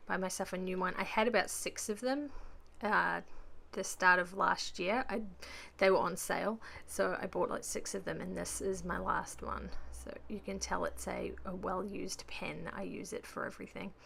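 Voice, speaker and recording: A woman with a croaky voice chatting casually through a voice-over about her crafting, rambling with lots of ums. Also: on the low side, fairly even intonation, moderate pace, few pauses, a bit bright. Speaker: scrapbooking vlogger.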